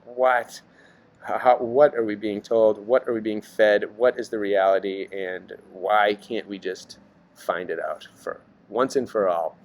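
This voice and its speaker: A man's voice: on the low side, steady tone, a little slow. A man giving casual, rambling commentary as a video narrator, musing and speculating in a conspiratorial way. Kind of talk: casual rambling commentary over archive images. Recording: music behind the voice. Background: background music.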